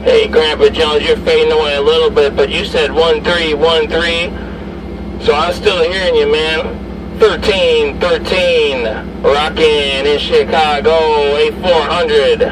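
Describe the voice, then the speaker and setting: A man's voice: fairly high pitch, little pitch movement, unhurried, far from the mic. A man doing casual, slangy CB radio chat, repeating handles and call-outs. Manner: repetitive, slangy radio patter. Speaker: CB radio operator. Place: inside a parked or moving SUV. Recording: noisy car interior, away from mic.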